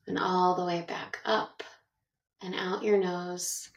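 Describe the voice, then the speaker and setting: A woman guiding a meditation with gentle, measured instructions and long pauses, speaking in narration style. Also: on the low side, level tone, creaky. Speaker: meditation guide. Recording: roomy echo, distant from mic.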